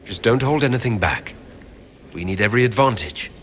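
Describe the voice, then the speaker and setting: Lively-pitched, low-voiced leader. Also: male voice, fairly quick, a little hiss or hum. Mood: firm. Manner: plain, earnest, direct. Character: determined male fantasy leader, direct and businesslike. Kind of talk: video game dialogue acting.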